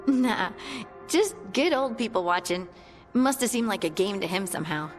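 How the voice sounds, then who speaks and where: A woman playing a warm, earnest young scout in a fantasy video game, chatting in a friendly, conversational way. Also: mid-range voice, lively intonation, moderate pace, faint music behind, natural voice. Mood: playful. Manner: chatty, friendly, lightly amused.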